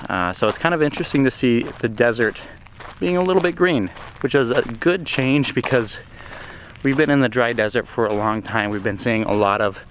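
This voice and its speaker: A man's voice: lowish voice, a little hiss or hum. A man in his forties hosting a casual travel vlog, talking to a camera held at arm's length outdoors. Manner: relaxed, chatty, explains details to camera. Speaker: vlog host. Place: outdoors on a desert trail.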